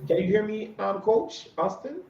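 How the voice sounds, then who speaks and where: High, lively-voiced enthusiastic male host. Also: moderate pace, gravelly, muffled recording. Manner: enthusiastic, hesitant with many ums. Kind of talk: video call interview. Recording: echoey room, faint noise.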